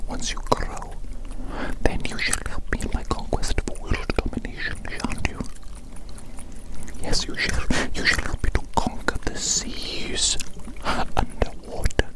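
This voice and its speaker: A woman's voice: lightly acted, low-quality recording. A woman playing a gleeful evil mad scientist in an ASMR roleplay, whispering breathily and coaxingly, repeating phrases theatrically as they dote on their creature. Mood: scheming. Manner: slow, repetitive, theatrical, coaxing.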